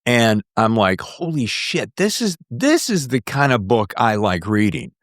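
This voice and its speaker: Man in his sixties, podcast host, very animated pitch swings, effusive, dry close sound. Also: measured pace, dry recording. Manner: effusive, excitable fan enthusiasm. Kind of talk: casual interview chat.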